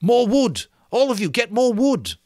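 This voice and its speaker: A man acts an earnest schoolboy chief from an adventure storybook. The voice sits high and lively, urgent and authoritative as he addresses the group. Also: measured pace, no echo, studio quality, exaggerated voice.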